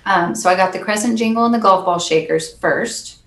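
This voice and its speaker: A soft-spoken woman, a percussionist guest, chatting earnestly and laughing often in an interview. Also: mid-range voice, fairly quick, echoey room. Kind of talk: casual interview chat.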